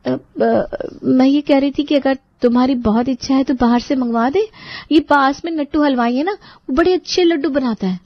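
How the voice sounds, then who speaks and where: A woman's voice: sits high, normal speed, hardly any pauses, no echo, dull audio. A woman playing a resentful, put-upon young sister-in-law in a dramatized family story, sarcastic and grudgingly polite. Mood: exasperated. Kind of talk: dramatized storytelling with asides to listeners.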